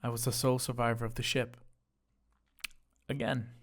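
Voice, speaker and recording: A performer voicing a flippant, deadpan slime god who drifts through space, narrating an audio drama in the first person in a conversational, boastful way. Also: mid-range voice, moderate pace, close to an everyday voice. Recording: music bed under the voice.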